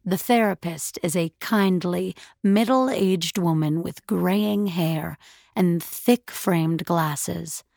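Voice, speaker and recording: Reflective story narrator, unhurried delivery, very dry close sound, measured, wry, sci-fi audio fiction. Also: female voice, on the low side, darkish tone, no room sound.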